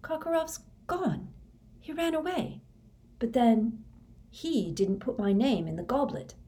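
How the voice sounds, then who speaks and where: A woman's voice: mid-range voice, lively intonation, a little slow, warm tone, voice pushed up, close to an everyday voice. A woman reading a storybook, voicing a frightened, bewildered teenage wizard boy in a breathless, insistent, earnest way. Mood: disbelief.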